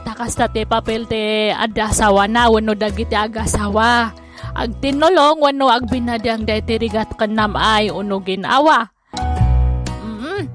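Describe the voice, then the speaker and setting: A woman presenting and narrating a scripted Ilocano radio drama. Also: fairly high pitch.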